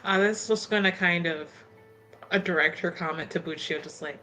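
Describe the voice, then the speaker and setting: A woman's voice: on the low side, moderate pace, pushed and bright, faint music behind. A woman playing in a tabletop roleplaying game, talking casually in and out of character.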